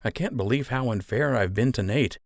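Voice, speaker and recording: A man's voice: medium pitch, fairly quick, gravelly, no echo, clean studio sound, pitched above the performer's own voice, lightly acted. A man as an earnest young rabbit archer. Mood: troubled. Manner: inquisitive, sincere.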